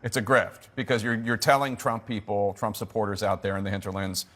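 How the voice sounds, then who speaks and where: A man's voice: fairly low pitch, little pitch movement, brisk, fairly bright tone. A man reporter questioning a lawmaker, pressing with direct questions. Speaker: news reporter.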